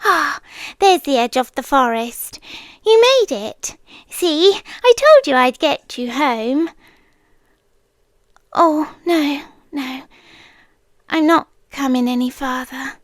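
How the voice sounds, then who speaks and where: Woman voicing a slow, high-pitched British monster girl. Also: animated, no room sound, clean studio sound. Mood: tender, longing. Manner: teasing, intimate, often dropping into whispers. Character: playful, tender, possessive young female forest monster girl. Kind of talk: roleplay ASMR.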